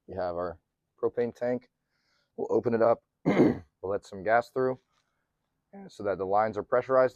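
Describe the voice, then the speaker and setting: Hey so like, low voiced dude, kinda hoarse, clears his throat a lot, laid back diy chat. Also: no room sound. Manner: relaxed, chatty, many ums and throat clearing. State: slightly hoarse, losing his voice. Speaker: van life vlogger. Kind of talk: casual how-to narration to camera.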